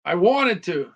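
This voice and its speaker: A man's voice: high-pitched, creaky, fairly bright tone. A man hosting a casual live stream about deals, repeating phrases and talking to viewers by name, his voice slightly worn. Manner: repetitive, chatty, talks to viewers by name. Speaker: livestream host reviewing deals. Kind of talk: casual live product chat.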